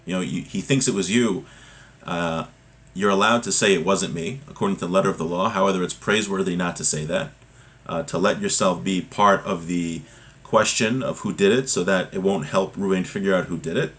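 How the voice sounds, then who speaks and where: A man's voice: deep voice, fairly quick, a bit bright. A man giving an informal religious class, reading from a text and explaining it point by point, often rephrasing and repeating. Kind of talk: informal text lesson, reading aloud then translating and explaining.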